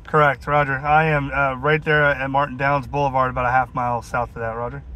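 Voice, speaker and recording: A man's voice: medium pitch, level tone, brisk, pushed and bright. A man calling over CB radio from a vehicle, chatting casually with slangy radio lingo. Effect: heard over CB radio. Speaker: mobile CB radio caller. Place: inside a vehicle on a highway.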